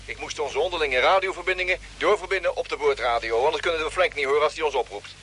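An actor playing an informal, mildly grumbling spaceship radio operator in a radio drama. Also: male voice, a little high, fast, bright, forceful tone. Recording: old radio play, faint vehicle noise.

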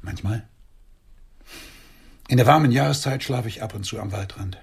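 A man's voice: mid-range voice, normal speed, gravelly, slightly rough. An actor in a radio play playing a shabby, bashful male drifter who speaks formally and politely, clinging to his dignity. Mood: frank, subdued.

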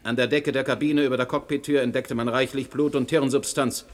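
An actor playing a stern, dogged presiding judge in a radio play, questioning formally and with authority. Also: male voice, medium pitch, fairly even intonation, a little fast, lightly acted. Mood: insistent. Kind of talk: German radio play acting. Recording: older radio recording with background noise.

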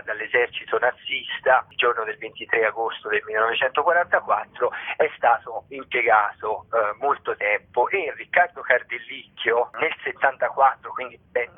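A man interviewed as a theatre director, explaining in long, careful and rather formal sentences. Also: mid-range voice. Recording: band-limited phone-like line.

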